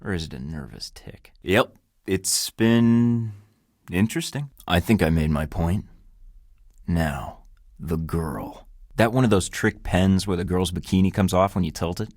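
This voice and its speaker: A deep-voiced, cocky American spy. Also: male voice, a little slow, darkish tone, dry recording, studio quality. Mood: threatening, then flirty. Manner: wisecracking, flirty and menacing by turns. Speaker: game protagonist. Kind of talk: video game voice acting.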